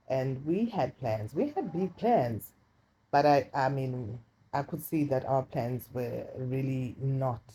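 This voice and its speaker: A woman with a deep voice casually telling a personal story, chatty and confiding, often repeating words for emphasis. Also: warm tone, a little hiss or hum, poor audio. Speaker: storytime vlogger. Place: indoors while rain falls outside. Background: light rain.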